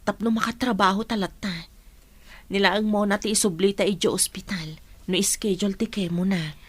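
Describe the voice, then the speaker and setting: A woman's voice: medium pitch, steady tone, moderate pace, slightly rough, close to an everyday voice. A woman playing a caring, burdened middle-aged mother in an audio drama. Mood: concerned, patient.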